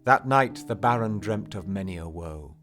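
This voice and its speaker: A man narrating poetry as a dramatic recital, measured and theatrical, with strong swells and hushed endings. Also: fairly low pitch, expressive, soft background music.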